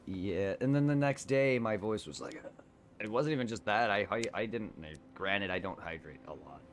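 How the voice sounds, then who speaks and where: A man streaming a game, chatting casually in a quiet voice, rambling and correcting himself as he goes. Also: on the low side, moderate pace, a little hiss or hum. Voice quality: quiet normal voice. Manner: rambling, self-correcting, laughs easily. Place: home streaming setup.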